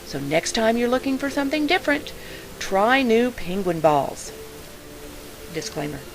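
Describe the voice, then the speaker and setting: A woman's voice: on the high side, animated, unhurried, faint music behind. A woman announcer reading a scripted parody commercial on a hissy recording. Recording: clearly noisy, hissy track.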